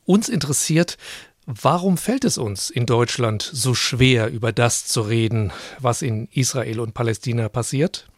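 A man's voice: mid-range voice, a little slow, dry recording, studio quality. A man from Germany, co-hosting a radio feature with scripted narration and interview questions. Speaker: co-host of a radio programme.

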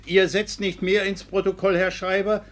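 An actor playing a stern, educated court inspector in a classic radio play, formal and reproving. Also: male voice, sits high, flat delivery, moderate pace, natural voice. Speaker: actor as visiting official.

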